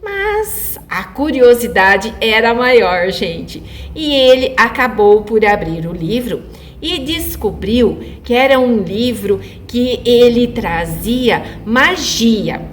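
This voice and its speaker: Woman, Brazilian accent, slightly high voice, informal children's storytelling, conversational, frequent check-in tags. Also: expressive, slow, a little hiss or hum. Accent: Brazilian Portuguese. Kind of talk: informal oral storytelling of a fairy tale for children.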